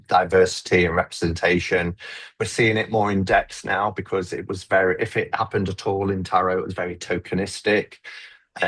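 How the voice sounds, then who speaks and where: A man's voice: low-pitched, fairly bright tone. A man who makes tarot videos, talking reflectively on a live stream and often restarting his sentences. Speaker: tarot YouTuber. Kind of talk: casual conversation on a live stream.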